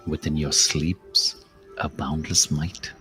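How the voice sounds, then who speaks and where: A man leading a guided meditation as host, speaking in a measured, contemplative way with long pauses and softly trailing phrases. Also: a bass voice, steady tone, soft background music. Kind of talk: slow guided meditation, spoken-word reflection with verse.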